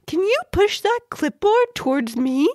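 Woman playing a high-pitched, pleading trapped scientist. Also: big pitch swings, measured pace, background noise, heavily acted. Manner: melodramatic, over-earnest. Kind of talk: playful kids' audio play.

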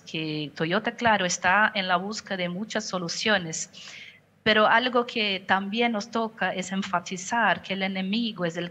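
A woman's voice: lowish voice, bright, forceful tone. Brazilian woman speaking careful spanish. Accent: Brazilian Portuguese. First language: Portuguese. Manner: careful, searches for words, frequent restarts. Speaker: company communications director. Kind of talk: panel interview answer. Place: home office, indoors. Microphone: headset on video call.

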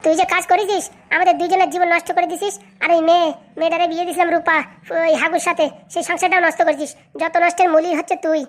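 A woman's voice: extremely high pitch, level tone, fast. A woman voicing a hot-headed, accusing male henchman in a comic cartoon. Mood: furious, blaming. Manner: aggressive, blaming.

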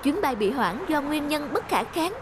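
Woman doing a high-pitched weary young man. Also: big pitch swings, normal speed, noisy background. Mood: scheming. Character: sly, weary young male photographer who longs for sleep. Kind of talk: cartoon dub.

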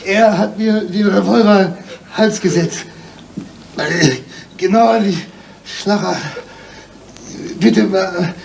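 A woman's voice: medium pitch, fairly even intonation, normal speed, a little mellow, a little hiss or hum, natural voice, poor audio. An actress playing a terrified, crying mother held hostage in a crime radio play. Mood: panicked, pleading.

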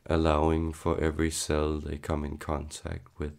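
A man leading a guided meditation in a solemn, hypnotic manner, halting between short soothing phrases. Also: rumbling low, completely flat delivery, vocal fry, darkish tone.

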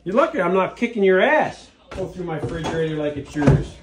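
A middle-aged man, gruffly scolding and cursing at his son in comedic family banter. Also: high voice, sing-song, fairly quick. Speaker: father. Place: home kitchen, indoors. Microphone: son's phone camera nearby. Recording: echoey kitchen with faint noise.

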